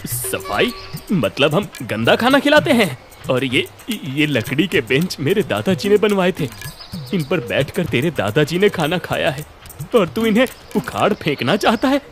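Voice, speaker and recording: A man's voice: on the high side, big pitch swings, unhurried. A man voicing a stubborn, proud middle-aged father who runs a village eatery in a cartoon story, speaking bluntly and emphatically. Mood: indignant anger. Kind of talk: animated cartoon story dialogue. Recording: music under the voice.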